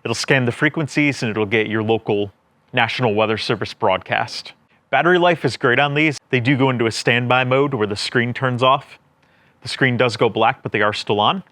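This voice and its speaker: A man presents a casual gear review in an explanatory, plain-spoken way. His voice sits at a middle pitch for a man. The sound is dry, with hardly any room around it. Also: normal speed, a bit bright, no echo. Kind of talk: casual product review talk.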